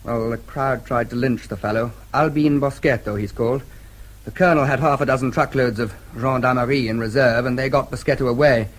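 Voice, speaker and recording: Actor as a husky, composed diplomat. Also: male voice, mid-range voice, fairly even intonation, a little fast, lightly acted, rough recording. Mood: grave, factual. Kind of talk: British radio drama acting.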